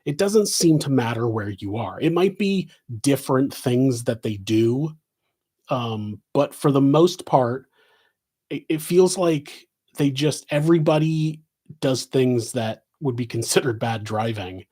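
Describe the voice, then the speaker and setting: A man's voice: mid-range voice, animated, measured pace, warm tone, husky. A man with a West Coast American accent, hosting a live Q&A and answering in a casual, rambling way full of fillers and restarts. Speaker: podcast host answering questions.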